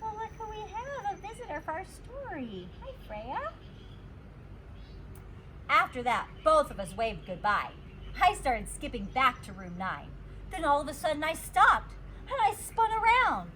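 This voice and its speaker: A woman reading a children's storybook aloud as a teacher, with expressive, deliberate storytelling. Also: high voice, slight background noise.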